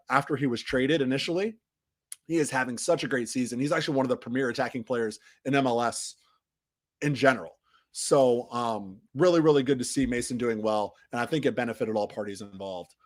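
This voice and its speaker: Man with a voice still mending. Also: mid-range voice. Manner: rambling, frequent restarts and fillers, trails off quietly at phrase ends. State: recovering from losing his voice. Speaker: soccer podcast host. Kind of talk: casual match recap on a live stream.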